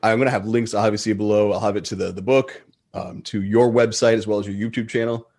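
A man's voice: on the low side, fairly even intonation, quick pace, darkish tone. A man hosting a podcast in a casual, informal and affirming way. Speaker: podcast host. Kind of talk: casual chat.

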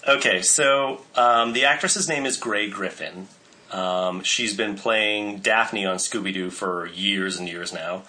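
A man's voice: fairly low pitch, fairly even intonation, takes it slowly, sharp tone. A man co-hosting a podcast in casual chat, informative and enthusiastic, with many fillers. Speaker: podcast co-host presenting cast info.

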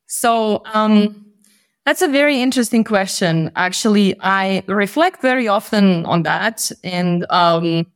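A woman from Bosnia speaking as a podcast guest, answering conversationally in a reflective, halting way and often rephrasing as she goes. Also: mid-range voice.

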